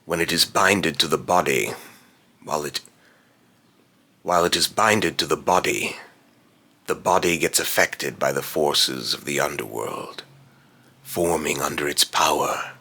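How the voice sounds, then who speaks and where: A man voicing a brooding otherworldly male spirit with a raspy voice, speaking solemnly and deliberately in a voice line recording. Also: extremely low pitch, bright, forceful tone, heavily acted. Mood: solemn, ominous.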